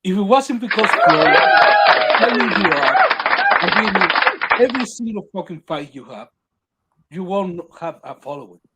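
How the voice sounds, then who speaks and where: A man debating boxing who hesitates briefly and then presses his point firmly. His voice sits a touch high. He sounds casual but insistent. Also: wildly swooping pitch, noisy background. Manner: halting start, then firm and emphatic.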